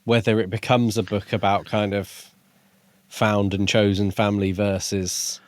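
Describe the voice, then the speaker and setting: Lower-pitched man, close dry sound. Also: flat delivery, measured pace, no room sound. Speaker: podcast co-host. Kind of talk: casual book discussion.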